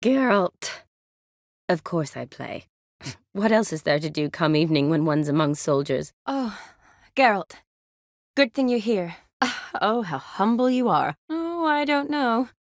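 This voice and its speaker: An actress playing a young army medic in a fantasy video game, a warm, playful friend with an expressive, teasing delivery. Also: female voice, medium pitch, unhurried, dry recording, studio quality. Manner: expressive, teasing, sighs and soft chuckles.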